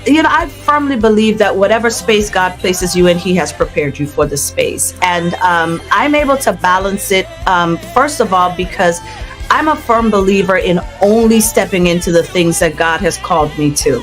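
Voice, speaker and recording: A woman's voice: lowish voice, lively intonation, normal speed, soft background music. A woman gospel singer speaking as an interview guest in an earnest, testifying, conversational way, with an easy laugh.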